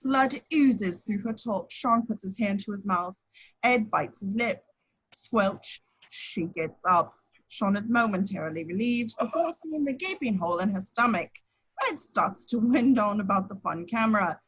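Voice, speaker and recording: Female narrator for screenplay action lines, medium pitch with a husky, unpolished tone. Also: lively intonation, a little slow, a bit bright, poor audio.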